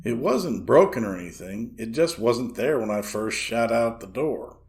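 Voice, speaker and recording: Man narrating a first-person strange tale. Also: medium pitch. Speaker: reader of listener-submitted strange stories.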